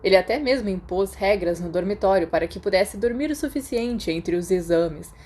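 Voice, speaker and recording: A woman narrator voices a gentle Brazilian teenage schoolboy in a storybook. He is soft-spoken and dry, with a mellow, understated delivery. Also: mid-range voice, expressive, moderate pace, lightly acted. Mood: amused.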